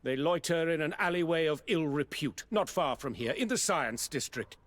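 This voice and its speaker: A man's voice: fairly high pitch, normal speed, fairly bright tone. A man playing an indignant, defensive, formal merchant in a video game. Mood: defensive, sullen. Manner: affronted, formal. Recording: long echo of a large room.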